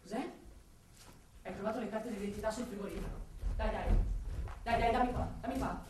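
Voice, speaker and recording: Radio drama casting: actress as weary, caustic, explosive male inspector; high-pitched, theatrical delivery, recorded at a distance from the mic. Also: a little fast, lightly acted. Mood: weary, irritable. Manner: biting, sarcastic, volatile, theatrical. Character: exhausted, sarcastic, hot-tempered male police inspector with migraine. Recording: echoey room, distant mic, faint noise.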